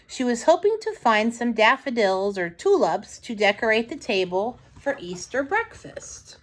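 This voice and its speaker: A woman reading a story aloud to young children in an expressive, dramatic storybook manner, with asides to her listeners. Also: fairly high pitch, a little slow.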